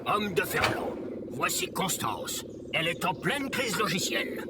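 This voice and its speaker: A man's voice: sits high, wildly swooping pitch, measured pace, very noisy, exaggerated voice. A man playing an eccentric sage robot mentor in audio fiction, theatrical, lofty and instructive.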